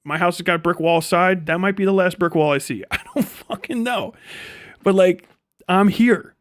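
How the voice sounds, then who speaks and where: A high-voiced American man delivers an off-the-cuff livestream rant, recorded dry and close with very little room sound. He is blunt and rambling, swears freely, and talks in an animated, conversational way. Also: moderate pace, dry recording. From United States. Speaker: livestream host. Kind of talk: casual ranting livestream commentary.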